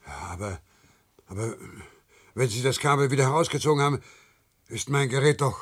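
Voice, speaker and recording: An actor playing a timid, obedient, confused worker who speaks hesitantly in a science fiction radio drama. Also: male voice, mid-range voice, a little fast, lightly acted. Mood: anxious, confused. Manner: hesitant, stammering, submissive.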